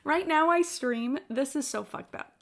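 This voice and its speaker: A woman's voice: high voice, measured pace. A woman livestreamer chatting casually during a game, telling rambling anecdotes in a blunt, sweary way full of filler "like"s. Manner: blunt, sweary, animated storyteller, frequent "like". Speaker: live streamer. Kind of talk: casual gaming stream chat, rambling anecdotes. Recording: game music playing behind the voice. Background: video game music.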